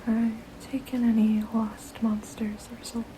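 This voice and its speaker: A woman voicing a gently protective, motherly vampire in a soft, breathy ASMR whisper, casual and soothing. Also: fairly high pitch, flat delivery, background noise, natural voice. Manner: hesitant, casual, soothing, unhurried.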